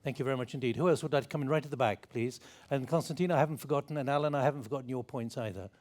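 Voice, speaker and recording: A man moderating a panel debate, prodding speakers with brisk questions. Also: mid-range voice, darkish tone.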